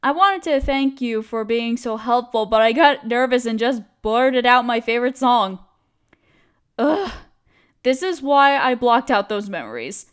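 A woman playing a cute, chatty young barista in an audio roleplay, expressive and girlish, playfully teasing a coworker friend. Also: fairly high pitch, measured pace, clear, resonant tone. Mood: embarrassed, playful. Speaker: voice actress. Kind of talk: scripted one-sided audio roleplay, casual chat.

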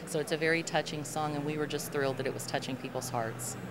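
A middle-aged woman gospel singer with a low voice, talking in an upbeat, chatty way into a handheld mic at a convention booth. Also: fairly even intonation, quick pace. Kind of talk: interview answers. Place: convention hall interview booth. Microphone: handheld mic held by host. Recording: noisy convention hall.

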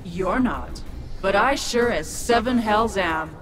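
A woman's voice: mid-range voice, expressive, slow pace, noisy background. A woman voicing a cruel, haughty ancient demon sorceress in a video game, gloating and threatening. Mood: mocking menace. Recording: music behind the voice.

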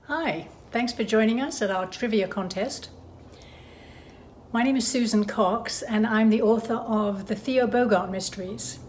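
A woman's voice: on the high side, unhurried, a little mellow, a little hiss or hum. A middle-aged woman, a novelist, introducing herself and her mystery books to a phone camera indoors. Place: indoors at home.

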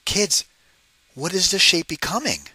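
Very bright-voiced man reading to children. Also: high voice, animated, husky, no room sound. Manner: deliberate, emphatic, addressing children directly. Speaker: read-aloud narrator and teacher. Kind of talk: picture-book read-aloud for children with questions.

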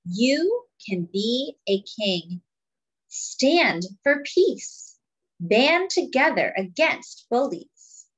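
A woman reading a picture book aloud to young children in a deliberate, teacherly way, adding simple explanations as she goes. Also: fairly high pitch, very animated, slightly rough, rough recording. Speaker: children's read-aloud storyteller.

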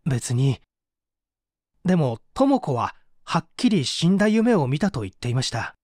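Man playing aggrieved male suspect in his thirties, bitter, defensive, highish voice, very lively intonation, radio drama. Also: unhurried, no echo, clean studio sound. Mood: guarded. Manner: aggrieved, self-justifying. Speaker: voice actor reading a radio drama. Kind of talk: dramatized mystery reading.

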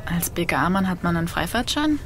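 An actress playing a bitter, defiant young daughter who demands answers, in a German audio drama. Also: female voice, on the low side, very animated, normal speed, fairly bright tone, soft background music. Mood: bitter, accusing.

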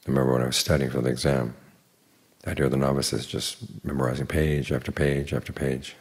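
Older man, monk, very low husky gravelly voice, unhurried dharma talk, dry humor. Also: level tone. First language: English. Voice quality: very deep gravelly voice.

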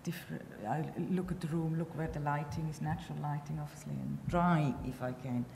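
Low gravelly woman talking at a panel. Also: fairly even intonation, a little fast, darkish tone. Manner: hesitant, thinking aloud, with many fillers. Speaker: documentary filmmaker on a festival panel. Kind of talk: panel discussion answer. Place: large hall at a panel table. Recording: reverberant hall, faint noise.

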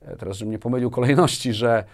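A man's voice: mid-range voice, fast talker. A man in his forties talking conversationally as an interview guest, telling personal anecdotes and often adding tag questions. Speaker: interview guest, business manager. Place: small furnished studio, indoors. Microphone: lapel mic.

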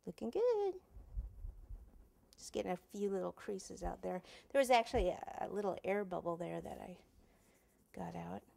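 A woman's voice: medium pitch, expressive, normal speed, gravelly, pauses often, darkish tone. A woman in her forties teaching cake decorating in a home kitchen, chatty and thinking aloud as she works, recorded on a lapel mic. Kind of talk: casual hands-on tutorial.

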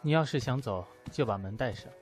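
A man's voice: medium pitch, animated, a little fast, faint music behind, close to an everyday voice. An actor playing a proud, hurt young man in an audio drama, with a curt, emotional delivery. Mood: cool. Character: proud, hurt young man, prickly toward his lover. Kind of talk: audio drama acting in Mandarin.

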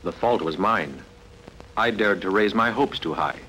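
A man's voice: lowish voice, lively intonation, bright, forceful tone, poor audio. An actor playing a loyal, humble strongman farmer and protective older brother in a dubbed ancient epic, speaking earnestly and formally. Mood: solemn, resigned. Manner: earnest, formal, measured phrasing. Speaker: film character in a fan dub. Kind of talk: dubbed sword-and-sandal film acting. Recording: film dub with faint background noise.

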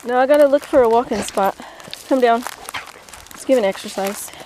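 A woman's voice: fairly high pitch, moderate pace. A young woman chatting casually and bluntly, thinking aloud as she vlogs outdoors on a hillside trail with a handheld camera. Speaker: vlogger. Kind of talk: casual outdoor vlog chat to camera and children. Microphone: handheld camera at arm's length. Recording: loud wind and outdoor handling noise.

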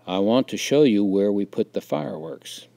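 A man voicing a stern, authoritative father in a story, speaking firmly and deliberately to his son. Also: lowish voice, gravelly, warm tone. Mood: firm, instructing. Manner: firm, commanding, deliberate.

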